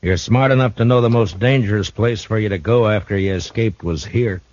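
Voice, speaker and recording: An actor playing a steady, shrewd frontier marshal in a 1950s radio drama, speaking in a calm, dry, measured way. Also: male voice, fairly low pitch, lightly acted. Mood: calm, probing. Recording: dull old radio broadcast recording.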